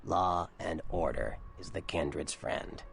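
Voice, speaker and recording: An actor voicing a cynical, streetwise vampire informant in a video game, gravelly, wry and blunt. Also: male voice, sits low, unhurried, a little hiss or hum, full character voice. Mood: matter-of-fact. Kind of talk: video game character dialogue.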